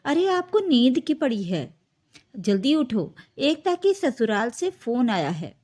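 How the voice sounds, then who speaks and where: A woman's voice: on the high side, very animated, measured pace, a little mellow. A woman voicing a nervous, pleading middle-aged mother in a family story. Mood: flustered. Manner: flustered, pleading.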